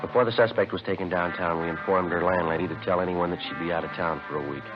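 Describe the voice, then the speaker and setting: Very deep, terse police detective played by an actor. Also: male voice, steady tone, fast talker, natural voice. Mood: matter-of-fact. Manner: clipped, matter-of-fact. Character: terse, businesslike male police detective narrator. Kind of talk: 1950s radio police drama, scripted narration. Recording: muffled old radio recording, music behind.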